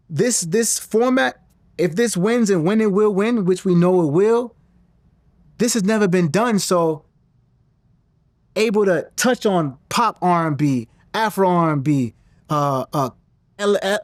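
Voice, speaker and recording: A man, talking in an interview in a confident, animated way and often restarting his sentences. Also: high-pitched, no room sound, studio quality. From Nigerian-American. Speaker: interview guest, singer and actor. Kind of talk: casual interview talk.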